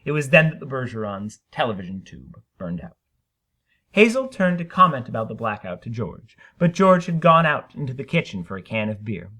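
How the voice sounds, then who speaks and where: Male narrator for dramatic short fiction: bright, forceful, widely varied pitch, emphatic phrasing. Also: big pitch swings. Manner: theatrical, emphatic, deliberate phrasing. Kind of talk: dramatic short story reading.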